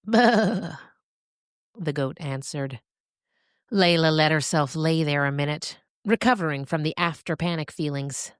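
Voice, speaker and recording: Woman, fiction narrator, low pitch, dry close sound, expressive storytelling, deliberate dramatic pauses. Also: smooth, dry recording.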